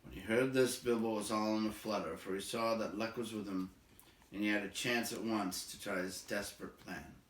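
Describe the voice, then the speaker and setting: A man's voice: on the low side, fairly even intonation, normal speed, fairly bright tone. A man reading a storybook aloud to listeners, moving between narration and casual remarks. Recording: roomy echo, distant from the mic.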